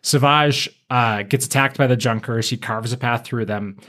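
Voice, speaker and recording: Podcast cohost doing a casual story recap, medium pitch, playful n informal, little dramatic asides. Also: normal speed, sharp tone. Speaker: podcast co-host.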